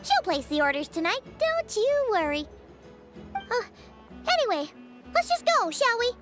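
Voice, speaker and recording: A tiny, cheeky video game companion, chatters in an extremely high, squeaky voice. The delivery is perky and childlike, leaping around in pitch over soft background music. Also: female voice, sing-song, measured pace, full character voice. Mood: excited, teasing. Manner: perky, exclamatory, refers to self in third person. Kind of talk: video game character acting.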